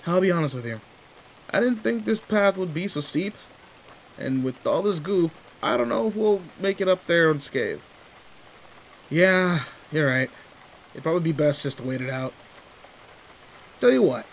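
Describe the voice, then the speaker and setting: A man's voice: on the high side, normal speed, vocal fry, long pauses, slight background noise, rough recording. A man playing a tall, protective, secretly sweet teenage delinquent in an intimate roleplay audio drama, talking in casual, teasing slang. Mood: reassuring. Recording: steady rain ambience behind voice. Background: rain in a forest.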